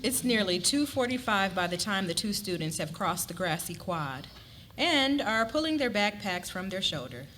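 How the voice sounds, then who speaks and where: A woman's voice: medium pitch, big pitch swings, moderate pace, a bit bright. A woman narrating a scripted story at a live dramatic reading. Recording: noisy live event recording.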